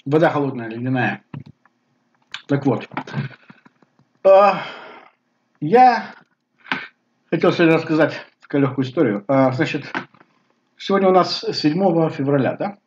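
Older man with a Bulgarian accent, halting. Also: a little high, expressive, a little hiss or hum. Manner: halting, many fillers, gestures a lot. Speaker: vlogger. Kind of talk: casual personal talk to camera. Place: living room at home. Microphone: webcam at desk. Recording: slightly dull webcam audio.

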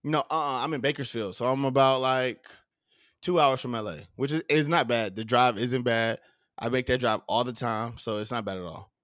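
A man's voice: mid-range voice, normal speed, fairly bright tone, no echo. Man, 30s, podcast host, loose rambling talk, studio mic, dry sound. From southern United States. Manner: loose and rambling, many fillers and restarts. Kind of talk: casual interview chat. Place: home studio. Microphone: studio mic with headphones.

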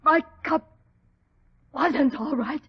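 A woman playing a fat, domineering, hysterical lady wailing melodramatically in pain in a 1940s radio drama. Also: extremely slow. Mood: moaning, agonised. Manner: shrill, melodramatic, bossy. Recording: muffled 1940s radio recording.

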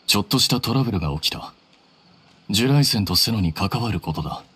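A man voicing a cool, blunt young scholar in an anime game, calm, terse and matter-of-fact. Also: on the low side, quick pace, breathy edge.

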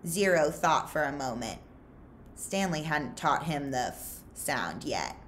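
A woman in her thirties reading a children's novel aloud in an expressive, deliberate storytelling style. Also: low-pitched, slight background noise. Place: small furnished room indoors.

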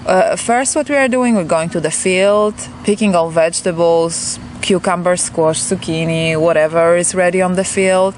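A young woman from Poland with a Polish accent, a farm intern giving brisk, matter-of-fact interview answers outdoors. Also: on the low side, a little hiss or hum.

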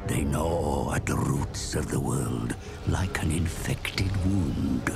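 A portentous storyteller of a dark fantasy game, speaking very low and slowly. The delivery is theatrical and ominous, with loud music underneath. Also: male voice, lively intonation, gravelly, exaggerated voice. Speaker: narrator. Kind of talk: dramatic scripted fantasy game narration. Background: dramatic music.

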